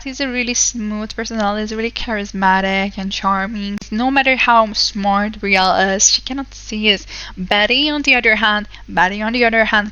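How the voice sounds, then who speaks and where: A woman's voice: mid-range voice, sharp tone, clear, resonant tone, no echo, dull audio. A young woman who is not a native English speaker, casually chatting through gameplay commentary with lots of fillers. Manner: chatty, rambling, many fillers. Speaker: let's play host.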